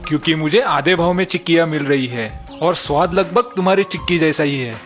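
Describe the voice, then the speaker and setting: A man's voice: a little high, fairly quick, fairly bright tone, soft background music, close to an everyday voice. A man voicing a blunt, businesslike village merchant who speaks matter-of-factly in a cartoon story.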